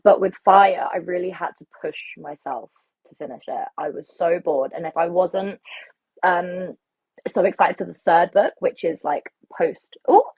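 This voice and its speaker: A woman's voice: lowish voice, normal speed, breathy edge, no echo, phone-quality sound. A woman co-hosting a casual livestream chat about books, speaking informally with enthusiastic emphasis. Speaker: guest co-host, book channel creator.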